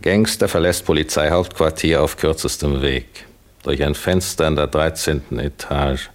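An actor playing a sadistic police thug who talks with mocking menace in a German crime radio play. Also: male voice, rumbling low, fairly even intonation, moderate pace. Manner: mocking, threatening. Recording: noisy old radio drama recording.

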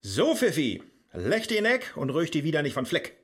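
An actor playing a grumbling, easily exasperated scholar in a rhymed radio play, theatrical, with a Low German accent. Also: male voice, huge pitch swings, normal speed, slightly rough, heavily acted. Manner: irritable, theatrical.

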